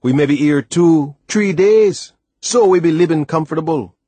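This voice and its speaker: Man voicing a high-pitched, hearty old West Indian seaman. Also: mellow, breathy edge, no echo. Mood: confident. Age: older. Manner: speaks in dialect, emphatic and deliberate. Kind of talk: audiobook character voice.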